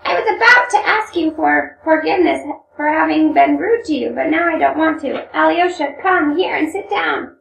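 A woman's voice: high-pitched, normal speed, creaky, hardly any pauses, a bit bright, voice pushed up, exaggerated voice. A woman playing an emotional young Russian woman in a storybook reading, pleading with dramatic questions. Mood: torn, pleading. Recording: roomy, distant microphone, noisy hum.

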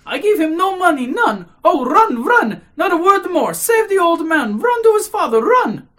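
A woman's voice: sits high, very animated, brisk, a bit bright, pitched above the performer's own voice, heavily acted. A woman voicing a hysterical, indignant, self-dramatizing society lady in a classic novel reading, exclaiming and rambling in agitation. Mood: frantic alarm.

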